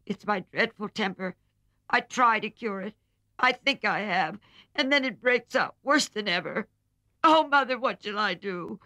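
A woman's voice: medium pitch, animated, unhurried, bright, forceful tone, no echo, voice pushed up. A woman voicing a hot-tempered, passionate teenage girl in a storybook, with emphatic, dramatic delivery. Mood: anguished.

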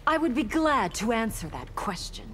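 An actress playing a poised, proud young warrior princess in a video game, with a challenging manner. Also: female voice, a little high, big pitch swings, moderate pace, fairly bright tone, soft background music. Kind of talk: dramatic video game dialogue.

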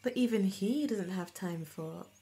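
A woman's voice: mid-range voice, measured pace, mellow, close to an everyday voice. A woman playing a haughty, disdainful female warrior who scoffs in disgust, in an audio roleplay.

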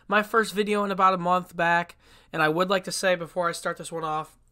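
A man's voice: sits high, flat delivery, a little fast, bright, forceful tone, smooth. Stuffy-nosed young man hosting a podcast. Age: young adult. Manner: chatty and blunt, with frequent restarts. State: stuffy, congested from a cold. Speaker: podcast host. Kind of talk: casual solo sports podcast.

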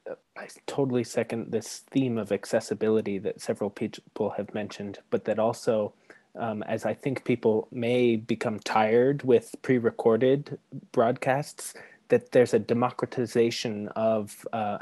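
A man in his thirties giving a thoughtful panel answer on a video call, measured and searching for words. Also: on the low side, a little mellow, no echo. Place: bright room at home, video call. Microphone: wired earphone mic on video call.

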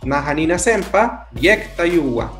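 A man teaching a language lesson, deliberately repeating key phrases for learners. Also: on the high side, big pitch swings, fairly bright tone. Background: music.

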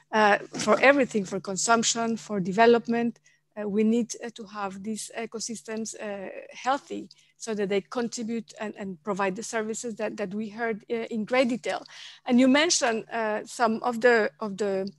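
Halting higher-voiced woman moderating a panel. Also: no room sound. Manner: halting, many fillers and repeated words. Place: home office, indoors. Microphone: headset on a video call.

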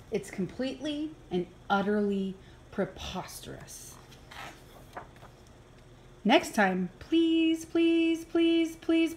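A woman reading a children's picture book aloud in an expressive, playful way, with exaggerated reactions. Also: sits high, a little hiss or hum. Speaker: early childhood program coordinator reading aloud. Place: indoors, filmed over an open book.

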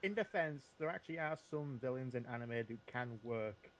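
A man's voice: mid-range voice, animated, normal speed, rough recording. A man chatting casually as a panelist on a podcast. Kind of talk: casual chat. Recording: noticeable background noise.

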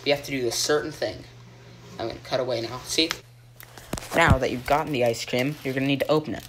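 Teen boy host, deadpan joke cooking show, phone-filmed in a kitchen, hissy audio. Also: medium pitch, quick pace. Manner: deadpan, rambling, with ums and mock instructions. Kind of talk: joking mock cooking show. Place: home kitchen, indoors. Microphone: phone camera held by a friend. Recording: noisy home phone video.

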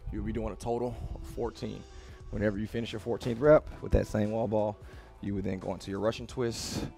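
A man in his thirties with a low voice and a gravelly edge explains exercises to camera. He is casual and direct, urging listeners on like a coach. Faint music plays behind him in a small gym. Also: flat delivery, fairly quick, warm tone. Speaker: fitness coach. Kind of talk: workout instruction to camera. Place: small home-style gym with rubber floor, indoors.

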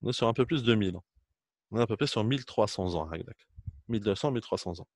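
A man in his thirties with an Algerian accent talks quickly and informally to the camera. He switches between languages and repeats points for emphasis. The sound is dry and close. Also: on the low side, no room sound. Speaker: trainer speaking to students.

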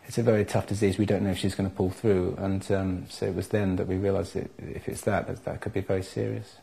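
A man, giving a halting personal account in an interview at home. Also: deep voice, little pitch movement, vocal fry, dark tone. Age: 30s. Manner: hesitant, halting. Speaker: father telling his family's story. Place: living room at home, indoors.

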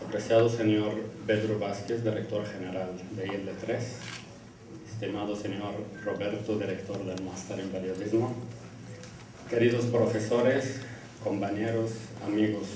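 Man, middle-aged, measured formal speech, lectern, distant mic, faint room hum. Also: fairly low pitch, flat delivery. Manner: measured, reading from notes. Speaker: graduating student giving the class speech. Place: indoor classroom, standing at a lectern. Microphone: lectern mic, filmed by a far camera. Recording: echoey room heard from afar.

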